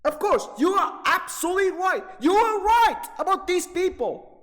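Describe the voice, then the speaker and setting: A man with a very high-pitched voice rants off the cuff like an agitated gaming video creator. He is profane and repetitive, stretching words for emphasis in halting bursts. The sound has a long, hall-like reverb. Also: lively intonation, a bit bright. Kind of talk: angry off-the-cuff rant about video games.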